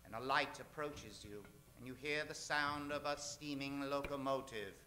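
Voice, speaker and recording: A man's voice: medium pitch, moderate pace, over loud music. A man hosting and narrating in old-time radio style, a hammy, tongue-in-cheek showman. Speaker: radio show host and narrator. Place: stage before a studio audience.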